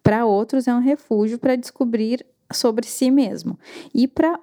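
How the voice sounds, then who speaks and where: A Brazilian woman hosting and asking viewer questions in a friendly, animated, chatty way. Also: fairly high pitch, measured pace. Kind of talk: casual conversation.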